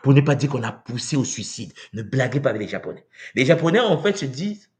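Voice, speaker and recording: A man from Congo giving a live talk to viewers, animated and rhetorical, often asking "n'est-ce pas?" and acting out quoted voices. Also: mid-range voice, fast talker. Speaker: speaker on spiritual tradition. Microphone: livestream mic.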